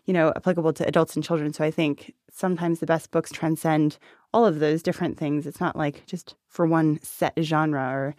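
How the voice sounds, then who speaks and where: Lowish-voiced young woman co-hosting, casual and dry. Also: fairly even intonation, normal speed, a little mellow, dry recording. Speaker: podcast co-host. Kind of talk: casual chat. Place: recording studio.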